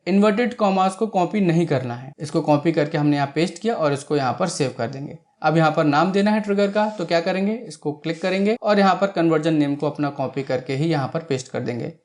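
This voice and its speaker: A man's voice: on the high side, quick pace, hardly any pauses, darkish tone. A man presenting a step-by-step screen tutorial, explaining each action and often checking in, with English technical terms mixed in. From India.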